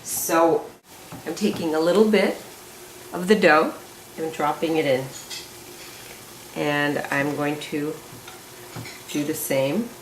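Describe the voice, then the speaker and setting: A woman with a deep voice explains a recipe slowly and chattily as she cooks. She is recorded from some way off in an echoey home kitchen. Faint clinks of pans and cutlery sit behind her. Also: fairly even intonation, far from the mic, a little hiss or hum. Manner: slow, homely and chatty. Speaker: home cook presenting a recipe. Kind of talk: step-by-step cooking demonstration. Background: clinking pots and cutlery.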